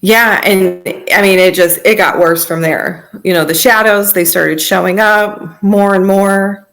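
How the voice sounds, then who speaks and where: A woman's voice: mid-range voice, fairly even intonation, unhurried, creaky, a bit bright. An American woman, around her thirties, telling a personal story in a conversational way as a podcast guest.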